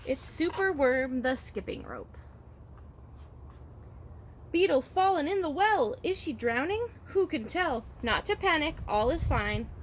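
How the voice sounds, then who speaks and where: Female childcare storyteller, fairly high voice, expressive rhyming read-aloud, faint background noise. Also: measured pace. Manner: expressive, rhythmic rhyming delivery. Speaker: childcare worker reading to children.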